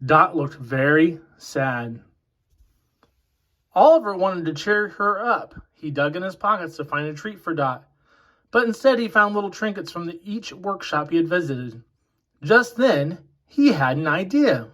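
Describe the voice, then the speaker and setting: A man reading a children's picture book aloud in a deliberate storytelling way. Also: high-pitched, expressive, bright, forceful tone.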